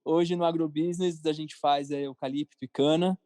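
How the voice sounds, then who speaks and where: A Brazilian man speaks as a podcast guest in a fairly high register. He explains things informally and conversationally, repeating words for emphasis. The sound is close and dry, with no room around it. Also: steady tone, normal speed, warm tone, no room sound. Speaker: podcast guest, cartoon creator and entrepreneur. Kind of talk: conversational interview answers.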